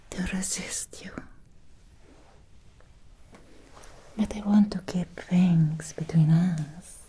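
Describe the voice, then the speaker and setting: A woman playing an obsessed, seductive Victorian vampire in an ASMR roleplay, whispering softly and slowly in an intimate, breathy voice. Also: sits low, full character voice. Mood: seductive, teasing. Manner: slow, intimate, deliberate with long pauses. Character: obsessed, seductive Victorian female vampire, hungry yet restrained.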